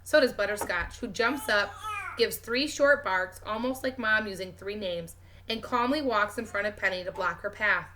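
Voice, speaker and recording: A woman who teaches, reading a children's novel aloud with expressive, clearly phrased narration. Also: mid-range voice, moderate pace, noisy background.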